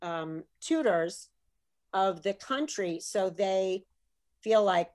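A middle-aged woman, an author, talking in a lively, chatty way and slipping into bits of Italian. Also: medium pitch, slow pace. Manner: animated, chatty, slips into Italian phrases. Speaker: author guest. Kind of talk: casual video chat.

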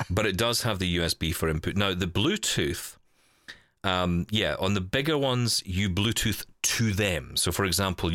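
A man's voice: low voice, fairly bright tone, no echo, clean studio sound. A man hosting a podcast in casual, chatty style, thinking aloud with wry asides. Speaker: podcast host. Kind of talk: casual chat, live unboxing of a gadget.

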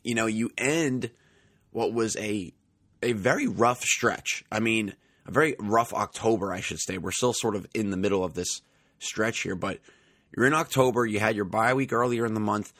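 Chatty man, slightly raspy. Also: fairly low pitch, moderate pace, fairly bright tone, dry recording, clean studio sound. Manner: chatty, conversational, many fillers. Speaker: podcast host. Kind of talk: casual sports podcast monologue.

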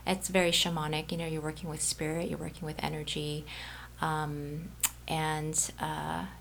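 A woman with a lowish, gravelly voice talks in an easygoing way as a guest, soft-spoken and full of fillers. She is from Brazil and works as a healer. There is a faint hiss under her voice. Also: little pitch movement, moderate pace. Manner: soft-spoken, laid-back, many fillers. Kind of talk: casual interview chat.

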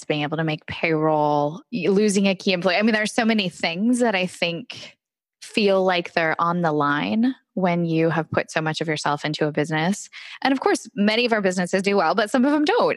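A woman hosts an interview, framing her questions thoughtfully and reflecting on what she hears. Her voice is lowish for a woman, and the sound is dry and close, with no room around it. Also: dry recording. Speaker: podcast host. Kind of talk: interview conversation.

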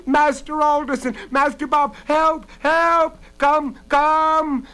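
A man's voice: very high voice, level tone, slow pace, pushed and bright, voice pushed up, heavily acted. A man voicing a frightened young servant girl in a dramatic storybook reading, desperate and calling for help. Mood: frantic shouting.

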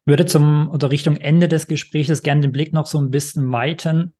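Male podcast reporter, level monotone, measured and formal. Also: fairly high pitch, breathy edge. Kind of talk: interview questions.

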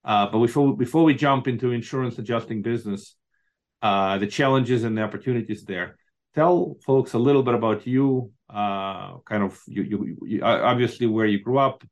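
A man with a medium voice hosts a podcast interview. He is from Moldova and talks in a halting way, repeating words as he frames his questions. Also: mid-range voice. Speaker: podcast host. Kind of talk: interview hosting.

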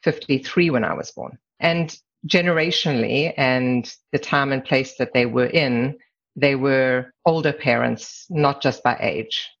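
The recording is a little dull and lo-fi. A middle-aged woman with a South African accent speaks as an interview guest. She is candid and measured as she reflects on personal matters. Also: extremely low pitch, creaky. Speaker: podcast guest, lawyer. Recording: slightly dull, lo-fi sound.

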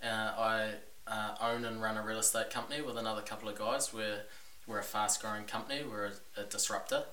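A man's voice: on the low side, steady tone, fairly quick, hardly any pauses, pushed and bright. A man from New Zealand with a New Zealand accent, a podcast guest and business owner talking in a frank, laid-back way. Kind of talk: casual interview chat. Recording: roomy echo, distant mic, faint hiss.

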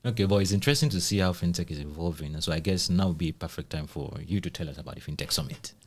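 Deep-voiced man hosting a podcast. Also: racing. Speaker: podcast host. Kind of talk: casual podcast chat.

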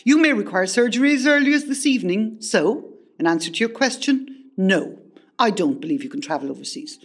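A woman's voice: mid-range voice, lively intonation, a little fast. A woman playing a frank, methodical hospital doctor in a radio play, formal and clinical in manner. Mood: clinical, businesslike. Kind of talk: comedy radio play acting.

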